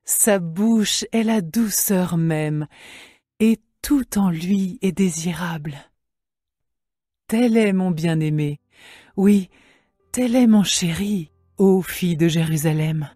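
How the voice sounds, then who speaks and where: A lovesick young woman, played by an actress, speaks slowly and tenderly in a dramatized scripture reading. Her voice is slightly husky and close, with little room sound, and music plays behind her measured, poetic lines. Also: lowish voice, dark tone, dry recording. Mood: adoring.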